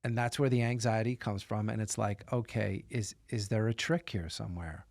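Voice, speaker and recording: A man's voice: fairly low pitch, steady tone, normal speed, vocal fry, a little mellow, no echo, studio quality. A man telling a personal story in conversational podcast style, with an emphatic, incredulous manner. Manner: emphatic, incredulous, repeats words for stress. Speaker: podcast host telling his own story.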